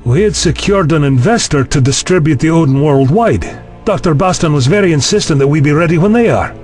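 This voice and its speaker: A man acts out an anxious, plain-spoken human ally in an audio drama. His voice is slightly high, with a questioning, wry edge. Faint music sits behind the dialogue. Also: expressive, fairly quick, a little mellow, lightly acted. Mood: worried.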